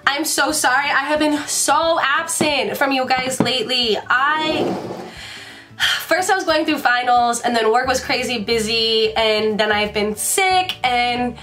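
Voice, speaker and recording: A woman's voice: high-pitched, measured pace, a bit bright, soft background music. Bubbly congested young woman vlogger. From Washington DC area. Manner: chatty and bubbly, rambling asides. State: congested from a sinus infection. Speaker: beauty vlogger. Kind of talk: casual chat to camera. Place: bedroom, indoors.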